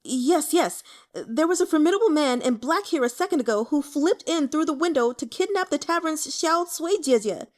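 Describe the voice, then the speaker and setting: A woman plays a naive, easily flustered young man in an audiobook. His voice sits very high and swoops around as he stammers and complains with melodramatic indignation. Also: lively intonation, moderate pace. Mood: rushed, eager. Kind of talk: audiobook character voice.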